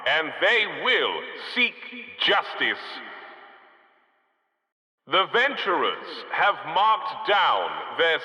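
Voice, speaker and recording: A man voicing a formal, dry city loudspeaker announcer in a game, reading public announcements in a bureaucratic, deadpan manner. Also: sits high, reverberant, big-room sound, full character voice, rough recording.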